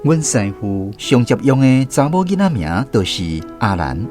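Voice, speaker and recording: Man acting a reminiscing Taiwanese storyteller with lively intonation. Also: medium pitch, moderate pace, faint music behind, close to an everyday voice. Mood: explanatory. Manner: reflective storytelling, explains as he goes. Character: man recalling his boyhood as a cinema narrator's apprentice. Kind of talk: scripted first-person radio drama narration in Taiwanese.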